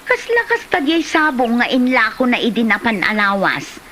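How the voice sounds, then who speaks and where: A woman's voice: fairly high pitch, sing-song, normal speed, sharp tone, a little hiss or hum. A woman with an Ilocano Filipino accent acting a chatty village neighbour in a comedy drama. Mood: excited, gossipy.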